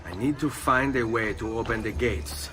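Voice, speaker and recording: An actor playing a cocky young Italian assassin with an Italian accent, wry and taunting, in a video game. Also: male voice, medium pitch, normal speed, natural voice. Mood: wry, musing. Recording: game music behind the voice.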